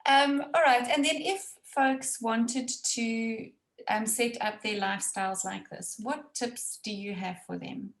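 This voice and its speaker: A woman's voice: fairly high pitch, a little slow. Affirming woman interviewer in an echoey room. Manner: warm, affirming, laughs often. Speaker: interviewer from the homeschool program. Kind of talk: friendly interview questions.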